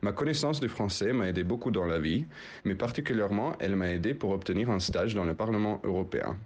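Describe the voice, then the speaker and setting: A man from Belgium giving a measured personal testimony. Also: fairly low pitch, darkish tone.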